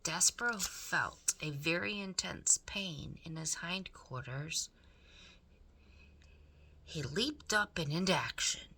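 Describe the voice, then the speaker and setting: A woman's voice: on the low side, expressive, slow, pushed and bright, breathy edge. A woman reading a children's story aloud expressively, dramatically addressing the listener.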